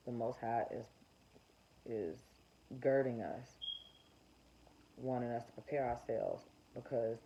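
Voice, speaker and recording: Gravelly man rambling in a monologue. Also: mid-range voice, dark tone. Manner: rambling, frequent fillers and restarts. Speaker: vlogger sharing religious dreams. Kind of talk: unscripted spoken monologue. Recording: muffled lo-fi recording with faint hiss.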